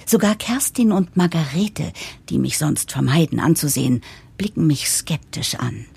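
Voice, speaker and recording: An actress playing a wry, hopeful newcomer, confiding in the listener in a scripted first-person radio play narration with dramatic pauses and whispery asides. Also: female voice, low-pitched, expressive, vocal fry, a little mellow, husky, exaggerated voice.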